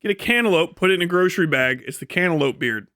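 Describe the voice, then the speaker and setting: A man with a high-pitched, sharp voice rambles to a live chat. His delivery is casual and animated, full of profanity, folksy slang and comic exaggeration. The sound is dry and close, without room echo. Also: quick pace, smooth. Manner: animated storytelling with exaggerated descriptions. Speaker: live streamer.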